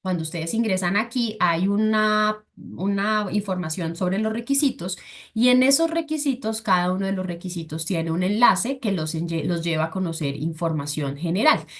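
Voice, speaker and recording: A woman's voice: mid-range voice, animated, moderate pace. A woman from Colombia, presenting a webinar for a scholarship foundation and explaining step by step with frequent fillers. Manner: explains patiently, with filler "entonces" and "eh".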